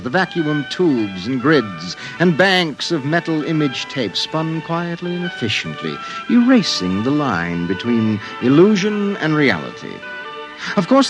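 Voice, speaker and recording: Radio storyteller, poetic, dramatic, widely moving pitch, music underneath. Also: male voice, low-quality recording. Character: grave, poetic storyteller of a science-fiction tale. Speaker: narrator. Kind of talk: old-time radio dramatic narration. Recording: music behind the narration.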